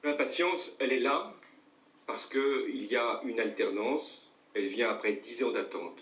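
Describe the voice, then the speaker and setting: A man's voice: medium pitch, unhurried, fairly bright tone, reverberant, recorded from a distance. A man making a public statement in short, firm, emphatic phrases. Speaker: politician in a news clip.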